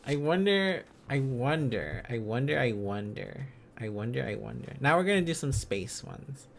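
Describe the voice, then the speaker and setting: A man's voice: medium pitch, expressive, moderate pace, creaky, a little hiss or hum. A man streaming a casual lesson, musing aloud and repeating phrases and syllables as he works out letters and words. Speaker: streamer learning Korean script on a live stream.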